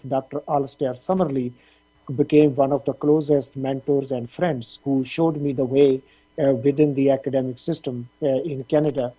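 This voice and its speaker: A middle-aged man answers interview questions in a measured, thoughtful way. He often stops to say "uh" or "um". His voice is very dark, mellow and a bit husky. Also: medium pitch, rough recording. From India, Punjab. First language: Punjabi. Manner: thoughtful, measured, with frequent fillers. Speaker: dean of a veterinary faculty.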